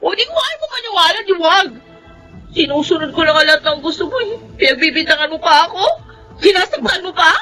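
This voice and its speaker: A woman's voice: very high-pitched, animated, fairly quick, pushed and bright, soft background music, heavily acted, low-quality recording. An actress playing a blunt, calculating young woman in a Filipino radio drama, frank and defiant. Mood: hurt, defiant.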